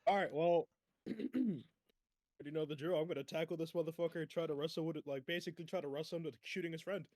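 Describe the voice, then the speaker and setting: A man's voice: on the high side, fast talker, a little mellow, dry recording. A man chatting casually as a player in a tabletop roleplaying game, blunt and heavily profane.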